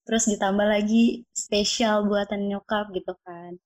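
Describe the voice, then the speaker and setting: A young woman in casual chat, explaining her view at a steady pace. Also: mid-range voice, a little slow.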